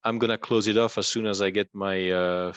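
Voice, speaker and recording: Low-voiced man, close and dry. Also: steady tone, fairly quick, smooth, no echo. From Belgium. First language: not English. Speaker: interview guest, rank and rent website entrepreneur. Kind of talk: explanatory conversational talk.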